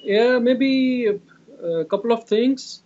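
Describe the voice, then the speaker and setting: High-voiced man, Indian accent, explanatory interview answers, repetitive phrasing, dull video-call audio. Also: takes it slowly, warm tone. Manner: repetitive, often says "you know". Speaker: clinician being interviewed. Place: indoor room, video call. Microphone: video call device at arm's length.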